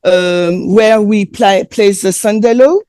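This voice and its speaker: A woman speaking English with a French accent, French being her first language. She preaches slowly and haltingly, often pausing on fillers, in a soft, mellow tone. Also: medium pitch, clean tone. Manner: hesitant, frequent filler pauses. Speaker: presenter. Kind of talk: sermon with consecutive interpretation.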